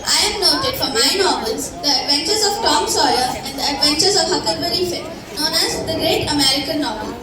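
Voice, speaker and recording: A woman's voice: sits high, lively intonation, brisk, few pauses. A young girl playing a proud famous novelist, reciting a scripted stage monologue in a declamatory way. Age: child or young teen. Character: proud famous American novelist introducing himself. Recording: echoey hall from far off, crowd.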